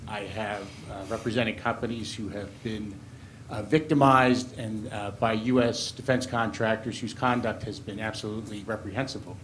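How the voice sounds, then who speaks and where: Halting, deliberate man with faint noise behind. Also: fairly low pitch, fairly even intonation, fairly bright tone. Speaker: audience questioner, lawyer. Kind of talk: formal question from the floor.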